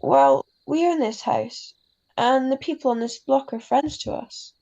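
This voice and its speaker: A woman playing an ailing, gentle, wise mother in a radio drama, speaking tenderly and haltingly. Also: sits high, big pitch swings, creaky, muffled recording, exaggerated voice. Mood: gently reflective. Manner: tender, halting, philosophical, tags sentences with huh. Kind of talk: classic radio drama acting.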